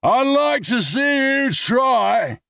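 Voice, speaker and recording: A man voicing a belligerent drunk man who slurs, shouts and taunts. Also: mid-range voice, very animated, slow, no echo, studio quality, voice pushed up, exaggerated voice. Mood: taunting. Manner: slurred, loud, cocky taunting.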